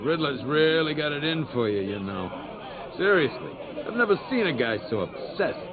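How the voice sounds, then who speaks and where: A whiny crook snitch in a video game, begging and griping, swingy pitch, dull muffled audio. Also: male voice, big pitch swings, moderate pace, a crowd in the background. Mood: bitter accusing. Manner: ingratiating, protesting, turning bitter. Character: pleading, wheedling jailed criminal informant, adult man. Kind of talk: dramatic video game voice acting.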